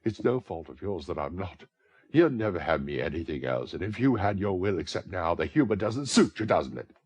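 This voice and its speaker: A man's voice: deep voice, sing-song, a little fast, a little mellow, slightly rough, dry recording, voice pushed up, heavily acted. A man voicing a defiant, drunken young woman who answers bitterly and languidly. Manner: bitter, languid, slurring hints.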